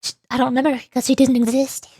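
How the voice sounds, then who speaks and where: A woman streamer chatting casually about a video game in a rambling, playfully exasperated way, often halting and repeating words. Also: sits high, little pitch movement, warm tone, husky, dry recording, studio quality. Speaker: streamer, VTuber. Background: background music.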